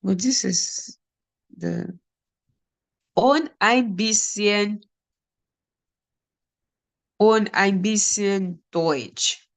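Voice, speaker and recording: A woman teacher from Nigeria with a Nigerian accent, explaining beginner German phrases and repeating example words slowly one at a time. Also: medium pitch, vocal fry. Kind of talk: slow classroom explanation.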